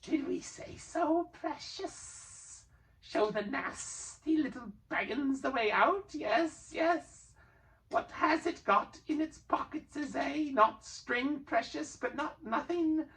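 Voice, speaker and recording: A man voicing a wretched, cunning, hissing cave creature, male, with a shrill, strained voice. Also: takes it slowly, fairly bright tone, far from the mic, heavily acted, rough recording. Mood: sly.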